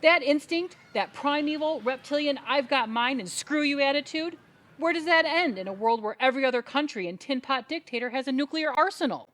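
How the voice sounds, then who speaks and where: A woman's voice: sits high, animated, brisk, a bit bright. A woman playing an indignant, crusading adult sister in a comedic audio play, speaking in a dramatic, emphatic, speechifying way. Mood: alarmed, ranting. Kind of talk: comedic audio theatre acting.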